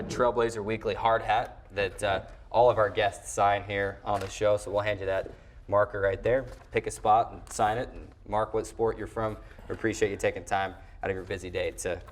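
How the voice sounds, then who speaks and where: Man, American accent, fairly low voice, sports show host, conversational announcer delivery. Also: little pitch movement, moderate pace.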